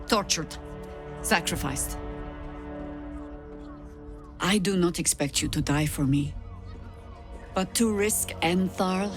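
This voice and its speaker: Casting: woman to play weary, stern female spymaster, measured authority, dramatic fantasy game, light score underneath. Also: mid-range voice, lightly acted. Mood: grave reproach. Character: stern, weary veteran woman commander of a secret order. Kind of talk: dramatic video game acting.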